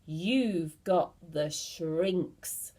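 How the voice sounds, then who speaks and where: A woman's voice: on the low side, extremely slow, dark tone, voice pushed down. A woman voicing a cruel old man who plays a trick on his wife, speaking with solemn, gloating emphasis. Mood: gloating menace.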